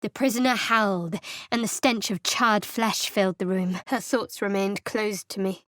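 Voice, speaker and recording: An actress voicing a guarded, sardonic young woman cleric of a dark goddess in a video game, with a dry, secretive manner. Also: mid-range voice, fairly even intonation, normal speed, no echo, lightly acted. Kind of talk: video game character voice lines. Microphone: close studio mic.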